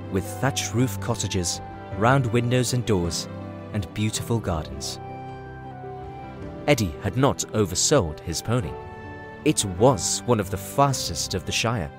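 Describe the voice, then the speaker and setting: A man narrating a scripted fantasy story as a storybook narrator. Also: on the low side.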